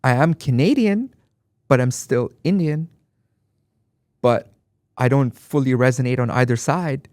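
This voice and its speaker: A man's voice: mid-range voice, unhurried, pauses often, a little mellow, dry recording. A man from Dubai, guest on an interview podcast, speaking reflectively and with emphasis, often repeating phrases. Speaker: guest artist. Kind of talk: conversational interview.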